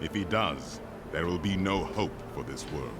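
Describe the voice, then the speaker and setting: An actor playing a solemn, commanding fallen angel warrior in a video game, speaking with grave, measured authority. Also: male voice, low voice, animated. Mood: grave warning. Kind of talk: dramatic video game acting. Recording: loud music behind the voice.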